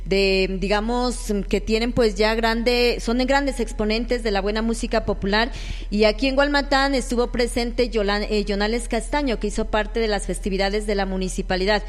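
A woman with a Colombian accent hosting a live local radio interview, halting at times as she searches for words. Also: fairly high pitch, steady tone. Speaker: radio host interviewing musicians. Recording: echoey hall-like reverb.

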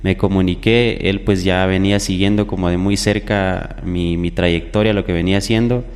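Low-voiced young man, echoey. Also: steady tone, normal speed, smooth. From southern Ecuador. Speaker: musician guest, popular music singer. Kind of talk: conversational interview storytelling. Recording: echoey hall-like reverb.

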